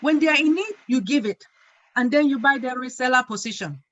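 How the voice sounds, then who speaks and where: A woman's voice: sits high, animated, brisk, fairly bright tone, a little hiss or hum. A woman calling into an online meeting, emphatic as she shares ideas and gives thanks. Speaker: caller. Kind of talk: casual online meeting chat. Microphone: video call. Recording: dull lo-fi call audio.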